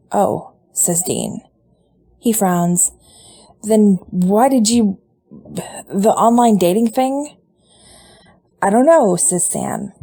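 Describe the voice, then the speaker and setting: A woman reads a story aloud in a mellow, fairly low voice. She tells it at an easy pace and gives the dialogue light voices. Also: creaky. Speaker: podfic reader. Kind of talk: reading fan fiction aloud.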